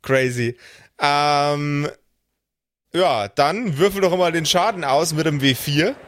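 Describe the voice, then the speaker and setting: Game master, faint noise. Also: male voice, a little high, takes it slowly, a bit bright. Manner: colloquial, swears casually. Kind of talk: improvised tabletop game narration.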